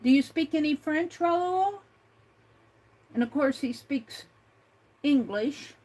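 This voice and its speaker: Slow, chatty woman, high voice. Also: lively intonation, darkish tone, low-quality recording. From United States. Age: older. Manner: slow, chatty, with many fillers and restarts. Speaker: art livestream host. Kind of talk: casual livestream chat reading comments.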